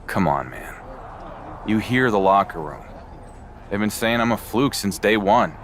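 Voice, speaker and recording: A man's voice: lowish voice, expressive, measured pace, a bit bright, slight background noise. A man playing a battered, self-doubting wrestling champion in a video game cutscene. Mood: doubtful, discouraged.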